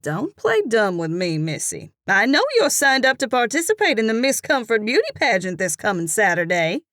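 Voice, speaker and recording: A woman voicing a brassy, nosy middle-aged Southern cashier, loud and chummy, with a Southern US accent. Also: a little high, sing-song, moderate pace, no room sound, studio quality, pitched above the performer's own voice. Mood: gossipy.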